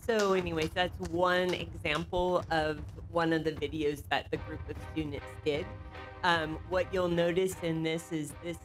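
A middle-aged woman with a low voice talks a board through a school program, filling her pauses with "um". She speaks into a desk microphone in a meeting room. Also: steady tone, faint music behind. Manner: filler-heavy, explanatory.

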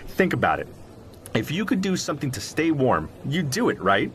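A man's voice: on the high side, huge pitch swings, normal speed, fairly bright tone, slight background noise. A man playing an articulate, self-assured zoologist explaining his field in an audio drama interview, in an emphatic, professorial manner. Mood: confident, explanatory. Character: articulate, self-assured male zoologist who believes in Sasquatch. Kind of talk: audio drama interview, scripted but conversational.

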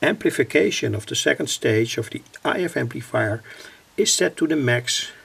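Very gravelly Dutch-accented man. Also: medium pitch, expressive, fairly bright tone. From Netherlands. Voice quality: gravelly, husky. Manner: halting, deliberate phrasing with pauses. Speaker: electronics hobbyist, vlogger. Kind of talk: informal technical explanation. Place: indoors at a home electronics workbench.

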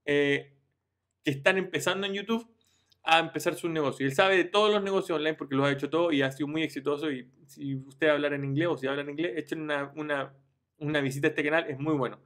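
A man in his thirties walks viewers through a screen-share tutorial, his voice sitting a touch high for a man. He talks informally and directly to the audience, checks in with them often, and restarts his phrases as he goes. He speaks from a room at home into a webcam. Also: fairly even intonation, fast talker, fairly bright tone. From Chile. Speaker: online business youtuber.

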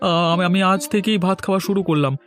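A man's voice: sits high, fast talker, fairly bright tone, faint music behind. A man voicing a thin, meek young farmer in a village cartoon, speaking hesitantly but eagerly. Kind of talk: cartoon voice acting.

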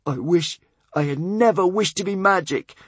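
A man's voice: high-pitched, normal speed, breathy edge, no echo, voice pushed up. A man voicing a proud, distraught young wizard boy in a storybook, impassioned and defiant. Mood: anguished.

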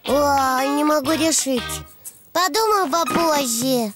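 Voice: high voice, slow. A performer voicing a cheerful, curious little boy donkey in a preschool cartoon, childlike and exclamatory. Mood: busy, cheerful. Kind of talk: preschool cartoon dubbing in Russian. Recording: music behind the voice.

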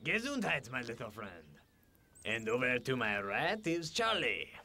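A man's voice: mid-range voice, big pitch swings, unhurried, sharp tone, full character voice. A man playing a jovial, chatty talking snowdrift with an exaggerated French accent, theatrical and playful in a comic story. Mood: joking. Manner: theatrical, playful, addresses listener directly.

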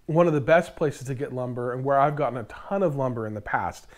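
A man's voice: medium pitch, brisk. An American man in his thirties presenting explanatory DIY tips to camera, recorded indoors on a lapel mic. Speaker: host of a DIY woodworking channel. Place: indoor workshop in front of lumber racks.

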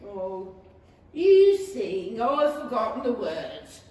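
A brusque, bossy beast played by a woman with a folksy manner and swooping, unhurried delivery. It is a radio play in a very echoey hall. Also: fairly high pitch, sing-song, warm tone. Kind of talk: 1930s-style radio play acting on stage. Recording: very echoey theatre, distant mic, hiss.

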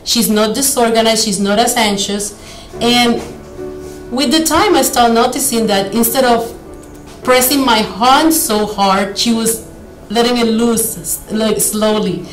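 A woman's voice: on the high side, measured pace, faint music behind. Earnest middle-aged woman. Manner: earnest, fillers and small slips. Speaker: clinic doctor. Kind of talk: interview storytelling. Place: clinic office, indoors. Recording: roomy room.

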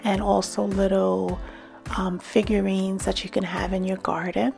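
A middle-aged woman narrating a shop-with-me vlog about home decor, enthusiastic and gushing over finds, sometimes dropping to a soft whisper. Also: mid-range voice, level tone, a little slow, vocal fry, over music. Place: in a car, then walking through large stores.

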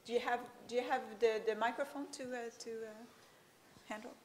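A woman moderating a panel discussion from a podium in a lecture hall, speaking haltingly with many fillers. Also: a little high, steady tone, slight background noise.

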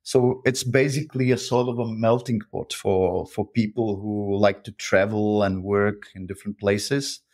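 A man's voice: fairly low pitch, steady tone, unhurried, hardly any pauses, a little mellow. A man from the Republic speaking English, talkative and friendly as a guest on an interview podcast. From Czech Republic. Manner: friendly, talkative, with frequent uh fillers and restarts. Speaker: guest, author and freelancer. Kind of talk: interview conversation.

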